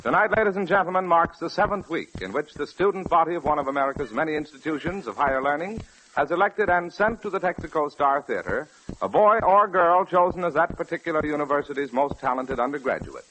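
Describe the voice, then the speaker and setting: Higher-pitched 1940s male radio announcer. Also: lively intonation, fast talker, sharp tone, a little hiss or hum, poor audio. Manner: formal, polished. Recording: vintage 1940s radio broadcast recording.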